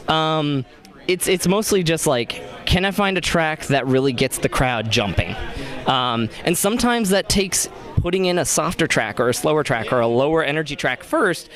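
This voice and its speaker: A man's voice: a little high, animated, moderate pace, a bit bright. A young adult man who works as a DJ, talking casually as an interview guest.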